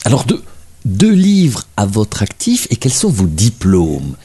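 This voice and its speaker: A man's voice: lowish voice, wildly swooping pitch, slow pace, warm tone, husky. A man hosting a literary radio programme, interviewing a guest with probing questions and some fillers. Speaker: radio host.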